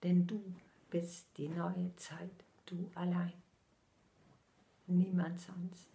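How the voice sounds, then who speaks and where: A woman in her sixties, a spiritual medium, delivering a channeled message slowly and solemnly with her eyes closed, often sinking into soft whispers, recorded close up on a laptop in a small room at home. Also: on the low side, little pitch movement, gravelly.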